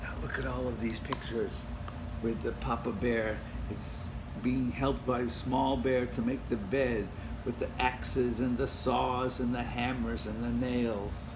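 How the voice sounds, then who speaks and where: Male reader for kids' storybook, mid-pitched, expressive with chatty asides, audible background noise. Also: unhurried. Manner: dramatic, addresses listeners.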